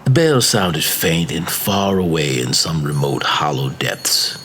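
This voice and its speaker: A man narrating a classic macabre story as an audiobook, with measured, deliberate phrasing. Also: a bass voice, very animated, background noise. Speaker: story reader.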